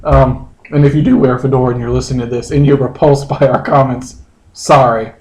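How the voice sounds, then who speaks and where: A man's voice: mid-range voice, steady tone, fairly quick, darkish tone, rough recording. A man co-hosting a comedy podcast, chatting casually and hesitantly in a joking way. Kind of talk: casual chat.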